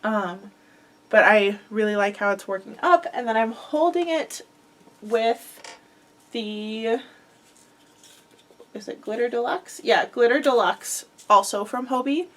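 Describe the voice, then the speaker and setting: A woman's voice: fairly high pitch, slow pace, pauses often. Young woman rambling about crochet stuff, kinda stuffy from a cold, lots of ums and likes. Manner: chatty, rambling, filler-heavy with frequent likes and ums. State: congested from a head cold. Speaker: crochet podcast host. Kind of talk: casual chat.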